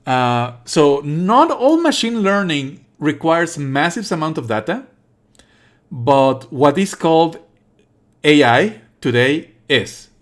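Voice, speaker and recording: A man's voice: a little high, very animated, slow pace. Opinionated male streamer giving game commentary. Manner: sweary, scornful, opinionated. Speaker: livestreamer playing and commenting on a game. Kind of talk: casual live game commentary.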